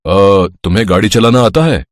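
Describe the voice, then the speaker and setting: A man playing an authoritative office boss in an animated story, businesslike and firm. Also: lowish voice, moderate pace, close to an everyday voice.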